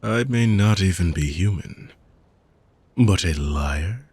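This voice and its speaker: A man voicing a weary ancient dark mage villain in a fantasy roleplay audio, speaking in a slow, measured way that drifts into hushed whispers. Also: deep voice, animated, slightly rough, full character voice. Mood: weary, menacing.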